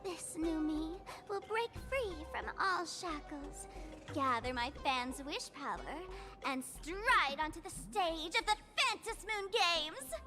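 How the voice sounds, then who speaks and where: A woman's voice: extremely high pitch, lively intonation, slow, heavily acted. A woman voicing a theatrical, mischievous young masked trickster in an anime-style video game, playful and taunting. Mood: grandiose. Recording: game music clearly behind voice. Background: video game music.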